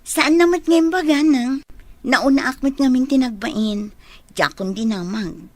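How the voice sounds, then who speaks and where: A woman's voice: sits high, measured pace, darkish tone, dry recording. A woman acting a calculating, inquisitive young village woman in a radio drama, with an Ilocano accent. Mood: questioning.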